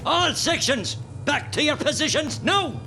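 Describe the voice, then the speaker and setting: An actor playing a brisk, hard rebel commander in a radio play, barking curt orders. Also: male voice, very high-pitched, lively intonation, pushed and bright, a little hiss or hum, exaggerated voice. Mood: urgent commanding.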